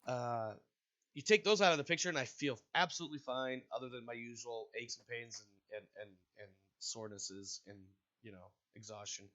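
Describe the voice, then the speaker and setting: Game master, man, congested, rambling host, forceful tone, lo-fi chat sound. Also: medium pitch, fairly quick. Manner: rambling, casual, with frequent restarts. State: stuffy and congested. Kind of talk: hosting and narrating a tabletop game. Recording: dull, lo-fi voice chat audio.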